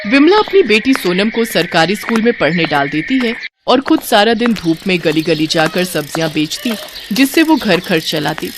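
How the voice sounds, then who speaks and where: A woman's voice: on the low side, big pitch swings, normal speed, noisy background. A woman storyteller reading scripted narration for a cartoon moral tale.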